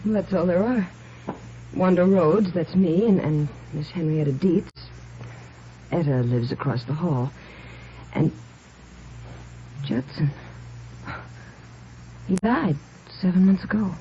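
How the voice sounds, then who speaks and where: An actress playing a frightened, secretive young woman in a 1950s radio drama, speaking haltingly and nervously. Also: on the low side. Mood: uneasy, hesitant. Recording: muffled, noisy vintage radio recording.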